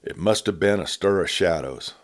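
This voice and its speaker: A man with a very deep voice narrates a Western story aloud. His delivery is measured and steady, like a storyteller by a campfire. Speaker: storyteller reading a novel aloud.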